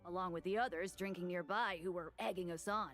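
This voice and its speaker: A woman's voice: lowish voice, fairly even intonation, fairly quick, soft background music, lightly acted. A woman voicing a youthful, mischievous male deacon in a video game, with a formal, mock-pious earnestness over cheeky words. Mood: earnest, cheeky. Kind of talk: scripted video game dialogue.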